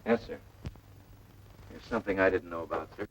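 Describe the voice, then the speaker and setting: An actor playing a green, deferential young pilot answering in clipped, respectful phrases in a 1940s military training film. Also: male voice, sits low, a little fast, husky. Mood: dutiful. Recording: dull noisy vintage film soundtrack.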